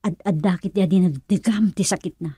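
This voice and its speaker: An indignant woman in a Filipino radio drama, reproachful and emotional. Her voice is husky and Filipino-accented, recorded close and dry. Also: on the low side, brisk, warm tone, dry recording. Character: indignant woman scolding a friend's lover.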